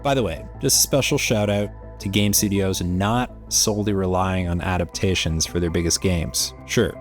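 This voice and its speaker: A man's voice: deep voice, normal speed. A man presenting research as an informal explainer, speaking directly to viewers. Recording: music under the voice. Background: background music.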